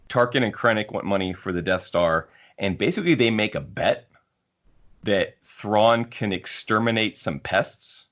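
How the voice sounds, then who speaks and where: A man hosting a podcast, talking casually about books in a halting way with frequent restarts. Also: on the low side, fairly bright tone, lo-fi sound. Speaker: podcast host. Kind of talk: casual discussion of sci-fi books.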